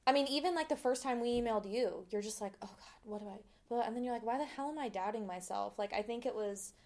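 A woman's voice: a little high, fast, darkish tone. Chatty woman host, casual and candid. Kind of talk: casual interview chat.

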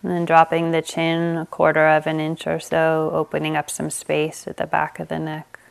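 A woman meditation teacher leading a guided meditation, speaking gently with long pauses. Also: low voice, monotone, vocal fry, smooth.

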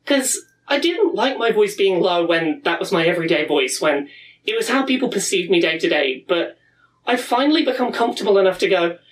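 A woman's voice: on the low side, animated, distant mic, rough recording. A woman in her thirties giving an earnest, reflective personal talk at a pride event. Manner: earnest, warm, with reflective pauses and restarts.

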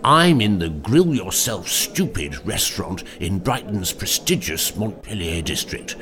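Arch male food presenter for a comedy reel, acted by a man, deep voice, animated delivery. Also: measured pace, exaggerated voice. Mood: smug, presenting. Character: smug British male restaurant critic presenter.

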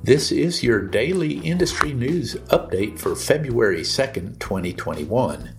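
A man's voice: mid-range voice, animated, unhurried, soft background music. A man presenting a scripted industry news update on a podcast.

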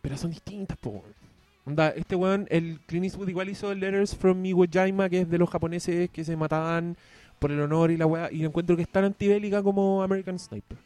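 Chilean guy co-hosting a home film podcast, slightly high warm voice, joking and swearing, faint music behind. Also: steady tone, a little fast, dry recording. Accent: Chilean Spanish. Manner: rambling, profane, joking. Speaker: podcast co-host. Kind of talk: casual chat about films.

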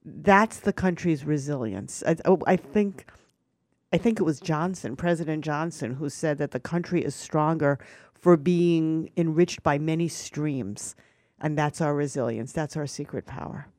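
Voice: mid-range voice, unhurried, darkish tone, dry recording. Reflective speaker, dry close sound.

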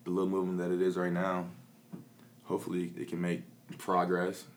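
Young man, rapper, very deep low voice, relaxed, mumbly, lots of fillers. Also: brisk, slight background noise. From Peoria, Illinois. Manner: laid-back, mumbly, frequent "like" fillers and swearing. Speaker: rapper and producer. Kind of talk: casual interview chat.

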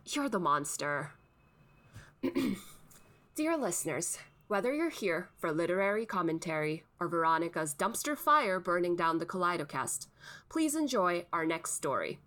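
A woman's voice: on the low side, big pitch swings, a little slow, a bit bright. A woman playing a wry, long-suffering podcast host in a comic audio sketch, with a dry manner. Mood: wry, exasperated.

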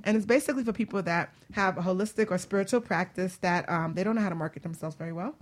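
A woman's voice: fairly low pitch, fast talker. Playful woman hosting a casual radio chat. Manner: playful, teasing. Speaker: radio show host and coach.

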